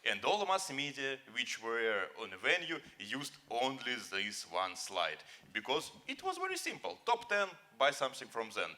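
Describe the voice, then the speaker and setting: A man's voice: mid-range voice, wildly swooping pitch, moderate pace, hardly any pauses, pushed and bright. A man on a conference panel whose first language is Russian, speaking earnestly and encouragingly in slightly broken English. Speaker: panelist, company founder. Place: conference hall stage.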